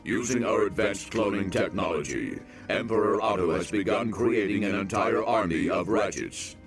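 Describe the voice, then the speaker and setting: A scheming male villain from an animated game, acted by a man, low in pitch. He speaks deliberately, smug and boastful with a resentful edge, over clear background music. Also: lightly acted.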